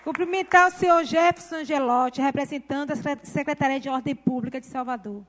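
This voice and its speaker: A woman's voice: high-pitched, a little fast, some chatter behind. A woman presenting a ceremony, formally reading out the titles and names of the speakers.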